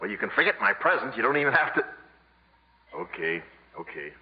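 A man acting a fast-talking small-time con man with joking patter in a 1950s radio comedy, on a muffled vintage broadcast. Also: on the low side, sing-song. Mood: glib, cheeky.

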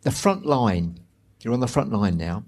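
A man moderating a book event, speaking conversationally with halting, ruminative phrasing. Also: lowish voice, animated, darkish tone.

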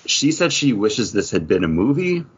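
Low, husky man, muffled recording. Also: sing-song, moderate pace. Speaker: podcast co-host. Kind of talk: casual movie and TV review chat. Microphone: internet voice call. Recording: muffled, dull voice-call audio.

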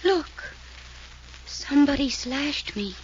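Scared childlike girl, high little voice, noisy old radio drama. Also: lively intonation, slow. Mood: frightened, plaintive. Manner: plaintive, naive, childlike. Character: frightened, childlike, plaintive young girl. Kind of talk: 1940s radio acting. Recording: dull, noisy old-time radio recording.

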